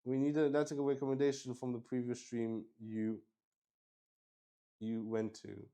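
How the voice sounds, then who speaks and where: A man's voice: mid-range voice, level tone, unhurried, warm tone, clear, resonant tone. A man hosting a solo tabletop game stream, chatting casually and unscripted in a rambling way that often restarts sentences. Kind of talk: casual livestream chat, unscripted.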